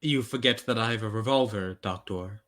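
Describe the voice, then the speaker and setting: Man playing a bright-voiced, arch radio-thriller thief. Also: lowish voice, normal speed, natural voice. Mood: cool defiance. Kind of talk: 1940s radio thriller acting.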